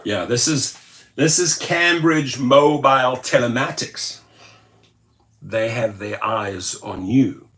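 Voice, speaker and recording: A man's voice: medium pitch, slow. A man from the United States hosting a rideshare channel, giving casual, sarcastic commentary and repeating his points for emphasis. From USA. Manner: animated, sarcastic, repetitive. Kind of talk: casual commentary on screen text.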